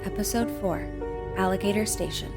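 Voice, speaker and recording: A woman's voice: medium pitch, normal speed. A woman reading scripted title announcements for a radio play. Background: loud music.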